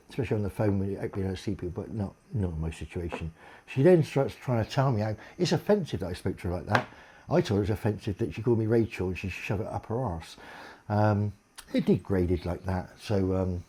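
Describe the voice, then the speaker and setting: A deep-voiced man, in a blunt, sweary, aggrieved monologue complaining to camera. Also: dark tone, breathy edge.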